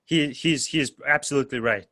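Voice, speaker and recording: A man from Kyrgyzstan whose first language is Uzbek, speaking English slowly with many fillers as a podcast guest. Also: a little high, pushed and bright, no echo, studio quality. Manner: hesitant, many fillers and restarts. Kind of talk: casual podcast interview.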